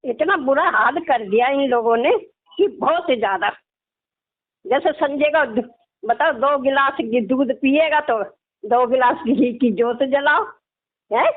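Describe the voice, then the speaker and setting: A woman's voice: high voice, a little slow. A middle-aged woman, chatting casually in a complaining way and tagging phrases with little questions. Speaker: caller, religious devotee. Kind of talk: casual phone conversation. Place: phone call. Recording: tinny, narrow phone line.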